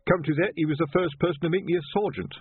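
A man voicing a weary, gruff middle-aged male watch sergeant. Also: a little high, very fast, no echo, narrow, tinny audio, pitched above the performer's own voice. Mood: reminiscing.

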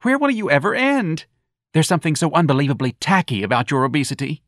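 Brief: narrator doing a catty young dandy, high and swooping, dry close recording. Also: male voice, expressive, quick pace, fairly bright tone, no room sound. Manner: campy, mocking, shrieking. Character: flamboyant catty young man, gleeful and teasing.

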